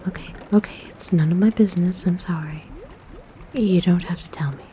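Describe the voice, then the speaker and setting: Woman acting as a kind forest witch, unhurried breathy whisper, mellow low tone, motherly fussing, close-mic ASMR roleplay. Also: slight background noise, natural voice. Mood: gentle, reassuring. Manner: gentle, soothing, coaxing, unhurried. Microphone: close microphone.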